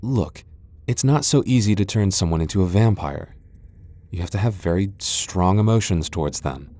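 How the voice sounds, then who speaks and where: Casting: male actor as haughty tsundere vampire lord, low-pitched, prickly and easily flustered, for intimate boyfriend roleplay audio. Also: lively intonation, normal speed, dark tone, dry recording. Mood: grudging, flustered. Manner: haughty, defensive, stammering when flustered. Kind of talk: ASMR boyfriend roleplay acting.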